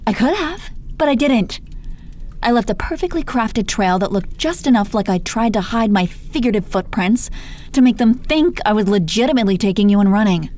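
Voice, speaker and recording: A woman's voice: on the high side, expressive, normal speed, darkish tone, lightly acted. A woman playing a gloating, menacing female supervillain in a roleplay audio, condescending, sardonic and exasperated, with heavy swearing. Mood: condescending taunting. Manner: taunting, condescending pet names, heavy swearing. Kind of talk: dramatic roleplay audio monologue.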